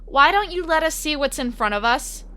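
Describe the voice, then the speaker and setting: A woman's voice: high voice, expressive, normal speed, bright, forceful tone, slight background noise. A woman playing a young, reluctant female competitor in an audio drama, wary and defiant, speaking in short questioning replies. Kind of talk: scripted audio drama acting.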